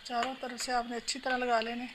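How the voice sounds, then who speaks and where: A woman calmly explaining a home recipe step by step while she cooks. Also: fairly high pitch, brisk. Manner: calm, instructional, talks while working. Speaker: home cooking channel host. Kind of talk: step-by-step cooking instructions. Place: home kitchen. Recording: noisy home phone recording.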